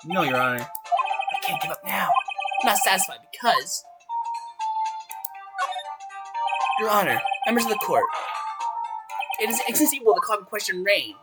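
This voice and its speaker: A dogged young male defense attorney in a courtroom game, acted by a woman. He argues earnestly with very lively pitch, over loud music. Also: a little fast, long pauses, lightly acted. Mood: dogged, insistent. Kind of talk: fan dub of a courtroom video game.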